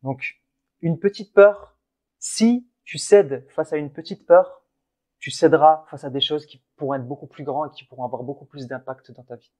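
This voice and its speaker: A man's voice: on the high side, normal speed, darkish tone. A man co-hosting a casual motivational podcast, talking in an earnest, encouraging way with frequent restarts. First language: French. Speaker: podcast co-host.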